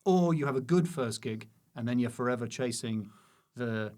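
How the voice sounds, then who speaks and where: A man's voice: mid-range voice, normal speed, darkish tone. A British man, a comedian appearing as a podcast guest, chatting casually in a wry, self-deprecating and slightly hesitant way. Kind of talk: casual interview conversation.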